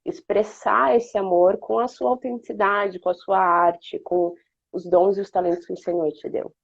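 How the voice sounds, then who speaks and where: A woman's voice: medium pitch, steady tone, normal speed, gravelly, husky. A woman with a Brazilian accent hosting a casual live-stream chat, affirming her guest often. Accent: Brazilian Portuguese. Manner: warm affirming interviewer, frequent "uhum". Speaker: host. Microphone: video call. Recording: dry, dull live-stream audio.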